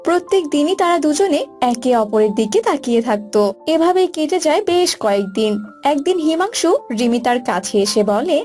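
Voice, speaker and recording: A woman's voice: sits high, expressive, normal speed, a little mellow. A woman reading scripted narration for a cartoon story. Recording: music bed behind voice.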